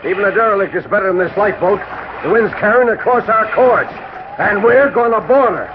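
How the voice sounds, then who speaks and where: A man playing a tough, practical sea captain in 1940s radio drama, brisk and barking orders on a noisy old recording. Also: high voice, narrow, tinny audio, lightly acted. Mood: resolute.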